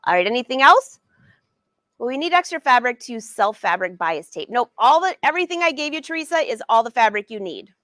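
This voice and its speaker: A woman's voice: on the high side, big pitch swings, a little fast, fairly bright tone, no echo. A woman in her forties leading a live sewing lesson from her studio, chatting upbeat and answering viewers by name. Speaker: sewing educator and brand ambassador.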